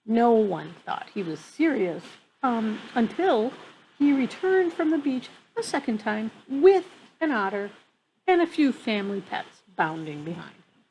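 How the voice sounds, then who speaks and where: A woman in her fifties reads a picture book aloud in an expressive way, pausing for casual asides about the pictures. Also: on the high side, low-quality recording. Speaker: storyteller reading a picture book to children. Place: outdoor wooden deck among trees. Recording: clear background noise, outdoor ambience.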